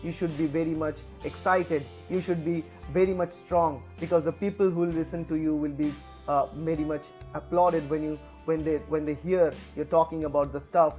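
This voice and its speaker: A man from India, a native Bengali speaker with an Indian English accent, giving tips to camera with lively swings in pitch and many fillers. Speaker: educator. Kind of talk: lecture to camera. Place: room, alone with camera.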